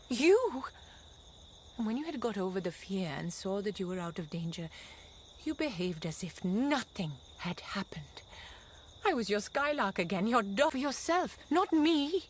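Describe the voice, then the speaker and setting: A woman's voice: a little high, a little hiss or hum. An actress playing an earnest young wife and mother who is resolved to break free of her marriage in a radio drama. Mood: agitated, defiant.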